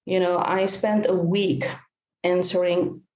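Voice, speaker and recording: A woman's voice: fairly low pitch, slow pace, roomy sound, a little hiss or hum, poor audio. A Dutch woman, a native Dutch speaker, telling her story earnestly as a podcast guest. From Netherlands. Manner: earnest, animated retelling with repeated phrases. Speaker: guest, caregiver coach and author.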